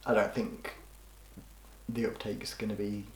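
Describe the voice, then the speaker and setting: A man's voice: fairly low pitch, little pitch movement, unhurried. Dry-witted young man, roomy sound. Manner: dry, joking asides. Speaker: podcast co-host. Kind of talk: casual chat.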